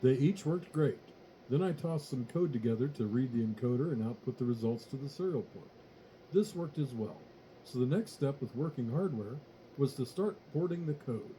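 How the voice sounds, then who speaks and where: A man reading a scripted technical tutorial in a measured, matter-of-fact way. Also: medium pitch, warm tone, a little hiss or hum, low-quality recording. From United States. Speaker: electronics tutorial narrator.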